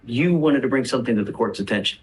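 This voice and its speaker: A man's voice: medium pitch, expressive, fast talker, low-quality recording. A man speaks as a judge from the bench during a hearing. He is firm and authoritative, putting things in plain, direct words. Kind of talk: courtroom hearing exchange.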